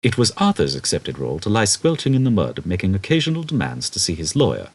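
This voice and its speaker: Husky low-voiced male audiobook narrator. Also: huge pitch swings, fast. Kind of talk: expressive scripted narration of a comic novel.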